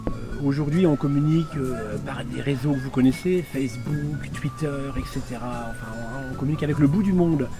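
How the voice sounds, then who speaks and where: A man who works as a cultural development officer, explaining his work in an earnest, clear way during an interview. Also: medium pitch, fairly quick, hardly any pauses, over music.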